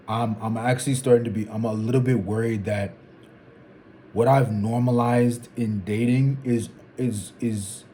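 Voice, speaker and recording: A man in his twenties thinking out loud in a casual, rambling vlog, restarting sentences and dropping "like" and "bro". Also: on the low side, a little hiss or hum. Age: 20s. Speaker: vlogger. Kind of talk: casual reflective monologue.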